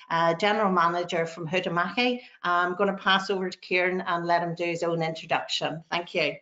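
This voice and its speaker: A woman's voice: fairly low pitch, a bit bright, dull audio. A woman hosting a business webinar, polite and hesitant, with many ums. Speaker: webinar host.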